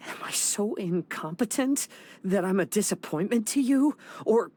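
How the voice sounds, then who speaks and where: A man playing an earnest, dutiful young samurai son in a video game, soft-spoken and reflective. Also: high-pitched, expressive, normal speed, a little hiss or hum. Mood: pleading, upset. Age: young adult. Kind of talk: dramatic video game voice acting. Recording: music behind the voice. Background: music.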